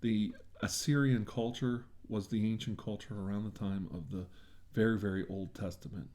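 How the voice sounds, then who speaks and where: A man with a lowish, very mellow voice performs an ASMR medical roleplay. He plays the examiner, speaking to the patient in a reassuring and attentive way. His tone is dark and relaxed. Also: moderate pace. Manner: reassuring, attentive bedside talk.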